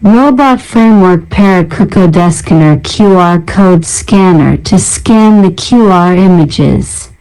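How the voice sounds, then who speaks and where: Female narrator, lowish smooth voice, unhurried scripted read-out of technical names and short descriptions. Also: animated, darkish tone, rough recording. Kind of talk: scripted narration, reading out a software library list.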